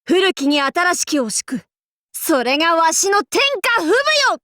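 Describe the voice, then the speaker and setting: A woman's voice: extremely high pitch, animated, a little slow, a bit bright, no echo, studio quality, full character voice. A woman playing a proud, boisterous warlord in an anime game, with pompous, playful, archaic lordly speech. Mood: battle-proud, exultant. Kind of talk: anime game character voice lines.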